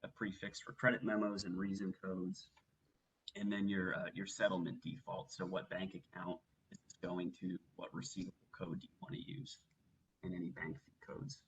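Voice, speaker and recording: A man working methodically through a software walkthrough as a webinar trainer, explaining each step. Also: deep voice, flat delivery, normal speed, slight background noise, low-quality recording. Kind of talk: instructional software walkthrough, semi-scripted presentation.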